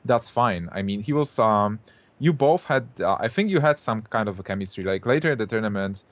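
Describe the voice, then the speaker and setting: This man has a fairly low voice and a dry, close recording. He gropes for words and restarts his sentences as he gives his opinions. He speaks like a co-host in a relaxed chat. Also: a little fast, dry recording. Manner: hesitant, many fillers and restarts. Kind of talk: casual podcast chat.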